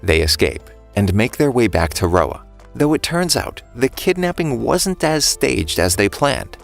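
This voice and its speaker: A man's voice: mid-range voice, sing-song, measured pace, gravelly, faint music behind. A man reading a scripted plot summary as the narrator of a recap, telling it as a story.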